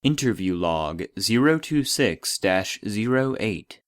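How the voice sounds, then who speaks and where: A man's voice: fairly low pitch, animated, slow, no echo. A man voicing a classified database, reading scripted entries in a formal, clinical and impersonal manner. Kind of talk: scripted narration.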